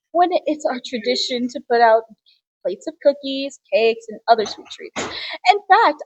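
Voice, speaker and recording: Chatty homely mum played by a woman, high and breathy, lyrical recollections, slightly muffled sound. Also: no room sound. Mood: chatty, fond. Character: Mrs Moore, homely chatty mother recalling a Christmas visit. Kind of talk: theatrical storytelling in an interview.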